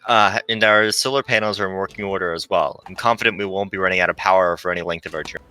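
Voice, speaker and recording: Man plays eager, literal android woman, ship navigator in a visual novel. Very bright, crisp-edged tone, lowish pitch, music behind. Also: fast. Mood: formal, hesitant. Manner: formal, literal, hesitant. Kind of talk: visual novel read aloud. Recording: dry close mic, background music.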